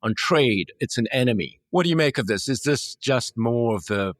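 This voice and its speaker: A man interviews in a conversational style. His voice is medium in pitch, and the recording is dry with very little room sound. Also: expressive, normal speed, no room sound. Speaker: interviewer, host.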